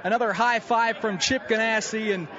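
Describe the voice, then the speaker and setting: Male American pit reporter, very high-pitched, emphatic live race radio delivery, lo-fi outdoor feed. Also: steady tone, moderate pace, crowd noise. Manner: emphatic play-by-play announcing. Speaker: pit road sports reporter. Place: outdoor pit lane at a racetrack. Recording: lo-fi radio feed, loud racetrack noise.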